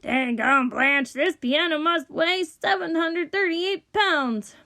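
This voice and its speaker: Lady doing a fed up maid from a kids book, high voice, grumbly. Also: slow, pushed and bright, heavily acted. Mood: exasperated. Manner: complaining, exasperated. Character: grumbling, worn-out housemaid. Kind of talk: storybook character voice.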